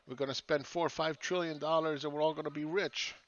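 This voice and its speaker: A man's voice: on the high side, steady tone, quick pace, fairly bright tone, dull audio. A man hosting his own channel, ranting sarcastically over charts and firing rhetorical questions at critics.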